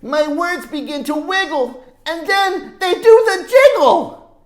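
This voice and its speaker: A woman voicing an excitable, impatient young schoolboy in a picture-book story, with exuberant delivery. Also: very high voice, takes it slowly, voice pushed up, full character voice. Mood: excited, erupting. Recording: roomy echo.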